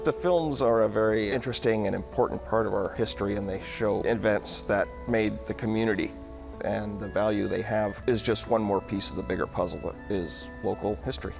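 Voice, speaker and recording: Gravelly low man, music behind. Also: a little fast. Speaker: documentary interviewee. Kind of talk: documentary interview.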